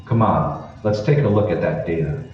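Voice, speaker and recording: A man plays a determined radio-drama hero with a fairly low, animated voice. He is urgent and emphatic. The recording is muffled and very echoey, as from far off. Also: moderate pace, soft background music, natural voice. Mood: intent. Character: determined, earnest man uncovering a conspiracy. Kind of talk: old-time radio drama acting. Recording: echoey hall, distant, muffled, noisy.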